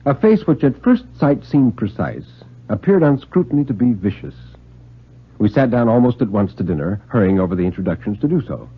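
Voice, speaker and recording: A man narrating a 1950s radio thriller in a theatrical, deliberate, suspenseful manner. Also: on the low side, very animated, slightly rough. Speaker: dramatic reader and narrator. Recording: muffled 1950s radio recording.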